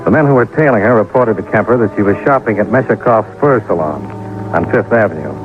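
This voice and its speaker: A man's voice: fairly low pitch, animated, a little fast, close to an everyday voice. An American man playing a wry, unflappable insurance investigator in an old-time radio detective drama, dry and casual. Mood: brisk narrating. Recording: dull old radio recording, music behind.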